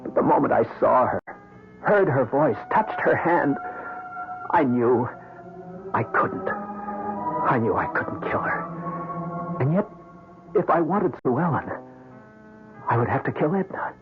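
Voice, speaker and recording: An actor playing an obsessed, vain middle-aged husband in an old-time radio drama, theatrical, intense and brooding. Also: male voice, mid-range voice, expressive, takes it slowly, pauses often. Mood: tormented brooding. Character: obsessed, vain middle-aged wealthy-wife's husband, tormented would-be killer. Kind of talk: old-time radio drama acting with first-person narration. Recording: tinny vintage radio broadcast, music behind.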